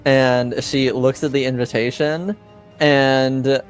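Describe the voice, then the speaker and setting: Halting male game master over music. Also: medium pitch, steady tone. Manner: halting, pauses to think mid-sentence. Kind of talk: improvised narration of a tabletop game.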